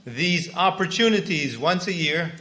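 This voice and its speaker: Man in his sixties, from Canada, bright voice, plain unhurried storytelling. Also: a little high, expressive. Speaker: guest speaker giving testimony. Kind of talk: testimony sermon with line-by-line interpreting.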